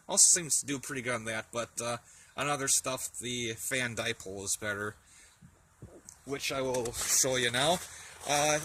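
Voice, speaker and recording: A man giving a casual, unscripted show-and-tell as a vlogger outdoors, speaking in a halting way as he thinks aloud. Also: medium pitch. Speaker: hobbyist vlogger. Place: outdoors in a yard in winter. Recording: outdoor camera audio with faint background noise.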